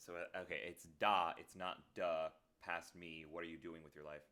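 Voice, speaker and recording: A man's voice: low voice, level tone, moderate pace, pushed and bright. A man streaming live, muttering to himself and joking at his own expense in casual chat at a desk microphone.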